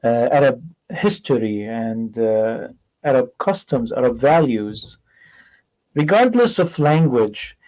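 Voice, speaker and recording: Male interview host, earnest and searching, slightly high voice. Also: a little mellow, rough recording. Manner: earnest, searching, with some restarts. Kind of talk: interview questions and commentary.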